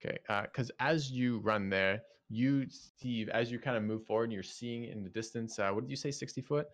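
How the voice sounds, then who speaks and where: A man narrating as game master of a tabletop game, halting and thinking aloud. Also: medium pitch.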